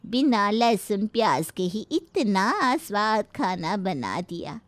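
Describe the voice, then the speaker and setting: A woman's voice: mid-range voice, very animated, slow, dry recording. Forthright gossiping neighbour for a cartoon moral tale, acted by a woman; chatty, pointed, dryly recorded. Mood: impressed. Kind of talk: dramatised moral story for a cartoon.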